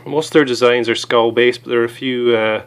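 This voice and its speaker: A hoarse man with a cold, chatting casually as he reviews small gear items, with many fillers. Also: medium pitch, fairly even intonation, fairly quick.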